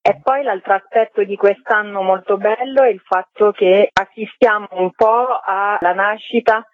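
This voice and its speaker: A woman's voice: mid-range voice, steady tone, normal speed, dry recording. A woman speaks over a narrow, muffled phone line with a dry sound. She is talkative and enthusiastic, answering a radio interview spontaneously as an event organizer. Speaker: festival organizer being interviewed. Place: phone call into a radio programme.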